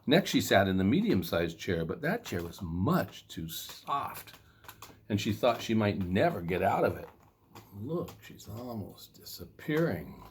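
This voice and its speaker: A man reading a children's story in a playful, expressive way with dramatic emphasis. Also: lowish voice, unhurried, slight background noise.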